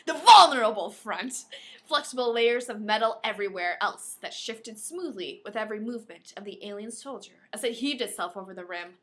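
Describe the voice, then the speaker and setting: A woman co-hosting a podcast, reading a novel aloud in an animated, playful way and breaking off for casual banter. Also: medium pitch, brisk. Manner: playful, dramatic, often breaks off to comment.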